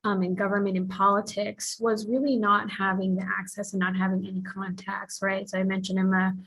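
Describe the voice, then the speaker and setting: Husky-voiced woman from the philippines on a panel, earnest, lots of ums and "right?". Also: mid-range voice, completely flat delivery, a little fast, fairly bright tone, poor audio. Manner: earnest, many fillers and "right?" tags. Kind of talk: panel discussion, personal account.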